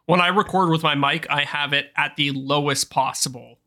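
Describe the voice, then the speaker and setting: A man co-hosting a podcast, chatting in an easygoing, agreeable way into a voice mic on a boom arm. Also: fairly even intonation, moderate pace, bright, forceful tone. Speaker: podcast co-host. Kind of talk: casual podcast chat.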